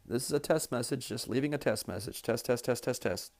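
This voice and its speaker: A man's voice: medium pitch, fairly quick, vocal fry, darkish tone, a little hiss or hum. A man giving a step-by-step, plain-spoken instructional tutorial as a trainer demonstrating office equipment.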